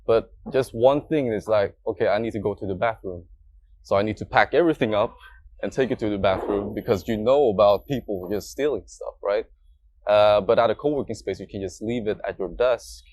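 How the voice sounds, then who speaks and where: A man's voice: lowish voice, a little fast, darkish tone, no room sound. A man from Sweden speaking as a guest in a casual interview in a coworking space, easygoing and full of fillers. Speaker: guest, startup co-founder.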